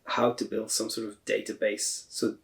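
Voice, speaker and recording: A man with a Northern Irish accent giving conversational answers as an interview guest, his voice creaky and gravelly. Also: fairly low pitch, level tone, moderate pace. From Northern Ireland. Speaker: podcast guest, translator and association chair.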